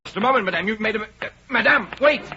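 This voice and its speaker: An actor playing a gallant, distinguished count with courteous manners in a 1930s radio drama, on a muffled old recording. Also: male voice, sits high, rapid-fire, over music. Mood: startled. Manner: courteous, gentlemanly.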